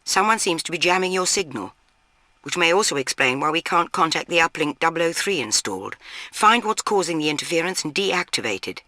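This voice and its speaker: An actress playing a stern older British spy chief in a video game, clipped, commanding and dryly disapproving. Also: female voice, low-pitched, lively intonation, fairly quick, sharp tone, dry recording, clean studio sound. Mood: stern, urgent.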